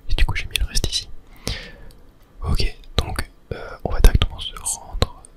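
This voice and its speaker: A person whispering a casual ASMR commentary while playing a video game, thinking aloud in a tentative way. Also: moderate pace. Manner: hushed, unvoiced whispering. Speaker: gaming video host.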